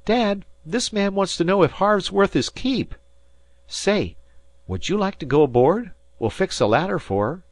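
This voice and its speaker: Man putting on high-pitched, breezy young deckhand. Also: big pitch swings, unhurried, dry recording. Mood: breezy, eager. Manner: breezy, colloquial. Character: eager, cheeky young fishing boy.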